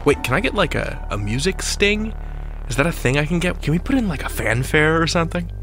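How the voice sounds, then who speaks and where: A man's voice: on the high side, very animated, a little fast, a bit bright, faint music behind. A man hosting a podcast, chatting to listeners in a playful, theatrical, slangy way. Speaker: podcast host. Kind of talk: chatty podcast countdown and commentary.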